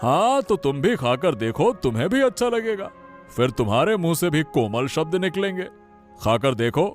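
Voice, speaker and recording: A man voicing a cheerful, doting father of the household in an animated family story, insistent and full of praise. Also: a little high, moderate pace, soft background music. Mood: praising. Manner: warm-hearted, insistent, praising.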